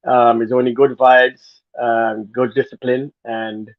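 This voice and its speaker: Guyanese guy talking on a tinny call, earnest, kinda rambling, flat pitch, dry. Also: a little slow, dry recording. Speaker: guest, former dancer. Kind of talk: casual interview talk. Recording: phone-like narrow sound.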